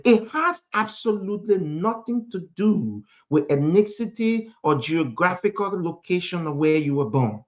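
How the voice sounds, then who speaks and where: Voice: mid-range voice, lively intonation, a little slow, few pauses, lo-fi sound. A speaker from Africa preaching a motivational sermon in an emphatic manner, repeating phrases and posing rhetorical questions.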